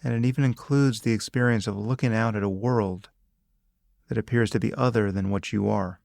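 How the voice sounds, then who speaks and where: A man leading a guided meditation as a teacher, speaking calmly and deliberately in short phrases with long pauses. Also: lowish voice, gravelly, no echo, studio quality. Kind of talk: guided meditation, spoken instruction.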